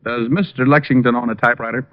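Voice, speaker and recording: An actor playing a wry, easygoing private detective in a 1940s radio drama, narrating and talking in a dry, wisecracking way. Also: male voice, medium pitch, fairly even intonation, fast, a little hiss or hum, natural voice. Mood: questioning. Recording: muffled old radio recording.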